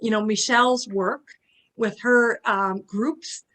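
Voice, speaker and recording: A woman host talks in a slow, unhurried way. She stops often, fills gaps with ums, and restarts her sentences as she thinks aloud. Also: medium pitch, steady tone, fairly bright tone. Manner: hesitant, many fillers. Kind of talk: casual online chat.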